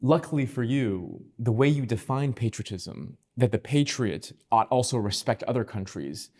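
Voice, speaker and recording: A man's voice: medium pitch, lively intonation, normal speed, darkish tone. A young man interviewing a guest, casual, dropping short affirmations and follow-up questions. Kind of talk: interview conversation.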